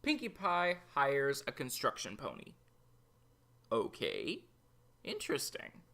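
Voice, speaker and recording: A man delivers episode commentary as the host of a review show. His voice is bright and quite animated in pitch, yet he takes his time, laying out opinions slowly and deliberately.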